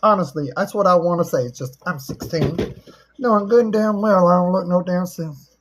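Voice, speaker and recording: A middle-aged woman with a husky voice, chatting casually as a livestream host in a folksy, teasing, joking way. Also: on the low side, normal speed, background noise.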